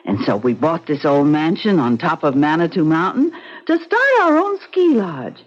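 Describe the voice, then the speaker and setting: An earnest young wife. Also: female voice, sits low, big pitch swings, normal speed. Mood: coaxing. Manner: earnest, persuasive. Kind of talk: 1970s radio drama acting. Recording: dull lo-fi radio broadcast.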